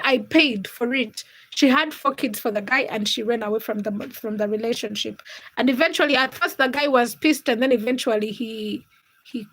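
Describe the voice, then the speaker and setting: A woman's voice: high-pitched, a bit bright, rough recording. A young woman from Uganda and Kenya hosting a casual livestream, reading out viewers' comments and teasing them by name. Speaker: livestream host.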